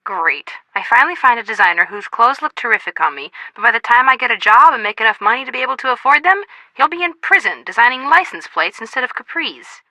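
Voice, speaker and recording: A woman's voice: a little high, animated, quick pace, few pauses, bright, forceful tone, dry recording, heavily acted, rough recording. An actress playing a bubbly, boy-crazy young American woman in a video game, gushing and playfully dramatic. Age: young adult.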